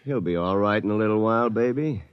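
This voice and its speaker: A man's voice: on the low side, fast, clear, resonant tone, no room sound, dull audio, natural voice. An actor playing a brash, cocky young man in a 1950s radio drama, breezy and slangy. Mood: swaggering.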